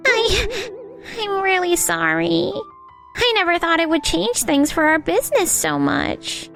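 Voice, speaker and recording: A woman's voice: extremely high pitch, measured pace, creaky, fairly bright tone, soft background music, exaggerated voice. A woman playing a young businesswoman in a romcom manga dub, emotional and theatrical. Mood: flustered, apologetic. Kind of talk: scripted manga dub acting.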